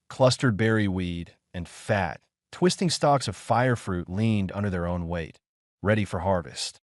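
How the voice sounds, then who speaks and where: A man narrating a scripted science fiction story in measured, short phrases with dramatic pauses. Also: on the low side, dry recording, studio quality. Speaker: audiobook narrator.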